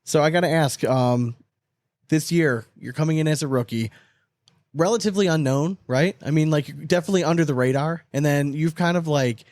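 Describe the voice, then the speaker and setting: A man's voice: a little high, fairly quick, darkish tone, no room sound, clean studio sound. A man hosting a podcast in casual, slangy, sweary conversation. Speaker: podcast host. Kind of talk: casual chat interview.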